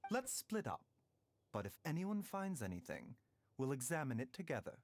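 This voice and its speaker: A man voicing a gentle, enigmatic doctor in a video game, soft-spoken and smooth with a teasing edge. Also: medium pitch, huge pitch swings, normal speed, slight background noise. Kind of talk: game voice acting.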